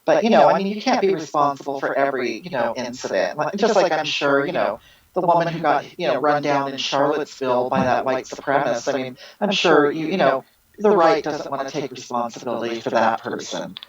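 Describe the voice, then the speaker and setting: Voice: muffled recording, close to an everyday voice. Someone putting on an earnest, rambling activist woman. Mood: earnest explaining. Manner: halting, repeats words, jumps high in pitch. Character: self-styled female social justice activist, earnest and rambling. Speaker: guest being interviewed. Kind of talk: satirical interview answers.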